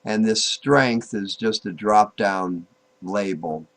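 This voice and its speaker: A man with a fairly low voice walks through software in an informal, unscripted way. His delivery is very slow and halting. He thinks aloud and repeats himself as he works through each step. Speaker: software tutorial presenter. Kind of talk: informal screen-recorded tutorial, unscripted walk-through.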